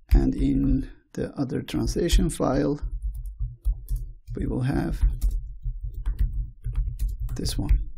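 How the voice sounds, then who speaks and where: A man with a Turkish accent, a native Turkish speaker, walking through code slowly, step by step, in a screencast tutorial. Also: mid-range voice, gravelly, dark tone, slightly rough. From Turkey. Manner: slow, step-by-step walkthrough. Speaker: programming tutor.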